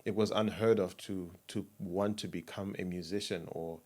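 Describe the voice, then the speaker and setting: A man from Zimbabwe, a sound engineer, talking as a podcast guest in a reflective, measured way in a studio. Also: deep voice, fairly even intonation. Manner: reflective, measured, trails off softly. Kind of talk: casual interview chat.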